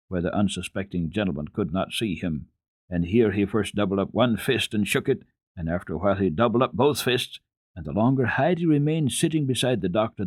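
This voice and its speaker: A man with a fairly low voice narrates a children's classic in the manner of a radio storyteller. His delivery is measured and expressive, and the sound is close and dry, with very little room. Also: no echo. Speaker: storyteller, radio program host. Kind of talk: dramatic reading of a children's book.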